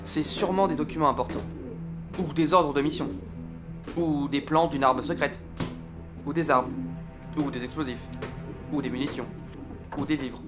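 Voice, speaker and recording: Comic war audio drama: exasperated, bossy trench captain played by a man, high-pitched voice, barks and swears, music running behind. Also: fairly even intonation, moderate pace, pauses often, close to an everyday voice. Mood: interrogating, puzzled. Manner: exasperated, barking orders, swearing. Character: harried, irritable, bossy French army captain in the trenches.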